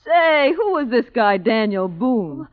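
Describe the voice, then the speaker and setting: A woman's voice: on the high side, big pitch swings, takes it slowly. An actress playing a brash, wisecracking young American woman in old-time radio drama style, with flippant, slangy delivery on a muffled vintage recording. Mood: breezy, excited. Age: young adult.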